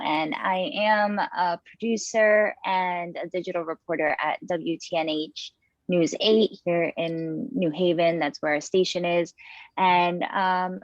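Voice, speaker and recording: A woman's voice: lowish voice, no room sound, lo-fi sound. A young woman, a TV news producer and reporter, introducing herself on a panel with many fillers and restarts. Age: young adult. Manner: unhurried, with frequent fillers and restarts.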